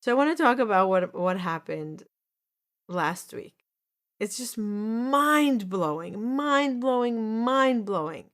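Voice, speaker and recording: A woman's voice: on the high side, lively intonation, slow pace, a bit bright. A woman in her forties telling a personal story in an earnest, repetitive way on a podcast, often restarting her sentences. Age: 40s. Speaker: podcast host.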